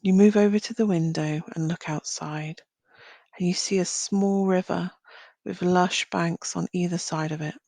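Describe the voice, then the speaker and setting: A woman leading a guided visualisation as a meditation guide, speaking gently in short phrases with long pauses. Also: on the low side, fairly even intonation, creaky, dry recording. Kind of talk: guided visualisation, slow soothing narration.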